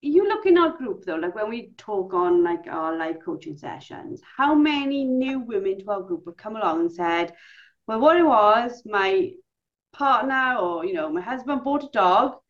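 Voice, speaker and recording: Woman host, lively storyteller, muffled sound. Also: mid-range voice, moderate pace. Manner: animated storyteller, acts out quotes. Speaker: podcast host. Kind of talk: casual chat. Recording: dull lo-fi call audio.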